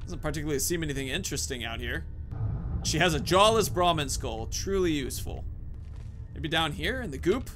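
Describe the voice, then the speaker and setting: A man's voice: on the high side, lively intonation, fairly quick, music in the background. A man giving casual let's play commentary, musing aloud with joking asides as he goes.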